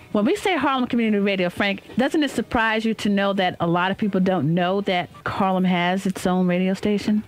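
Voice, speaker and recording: A woman hosting a radio talk show, chatty and affirming, leading the interview from the studio. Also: medium pitch. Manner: chatty, affirming with frequent "yes" and "yeah".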